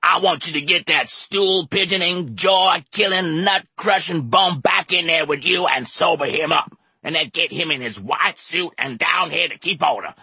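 A coarse, bossy bar owner hollers orders in a raised, rough voice, as a man narrating puts him on. The recording is dry and close. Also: a little high, sing-song, normal speed, no echo, pitched above the performer's own voice, full character voice. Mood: hollering. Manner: shouting, rough dialect speech.

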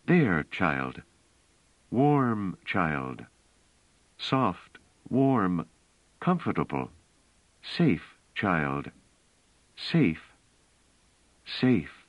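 A man reading aloud, voicing a gentle but firm young woman with a soft, coaxing manner. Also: mid-range voice, sing-song, unhurried, dry recording, pitched above the performer's own voice. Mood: soothing. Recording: dull, dry studio audio.

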